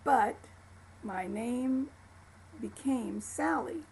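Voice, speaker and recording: Gravelly-voiced woman in her seventies. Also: on the high side, extremely slow, a little mellow, a little hiss or hum. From Florida, USA. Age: 70s. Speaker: woman telling her life story. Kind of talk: personal testimony to camera.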